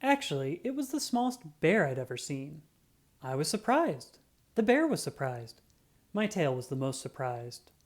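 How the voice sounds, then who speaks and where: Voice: mid-range voice, normal speed. A storybook narrator reading a children's picture book aloud expressively and dramatically, as a self-important cat detective telling a tale. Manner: expressive, dramatic, acts out sound effects.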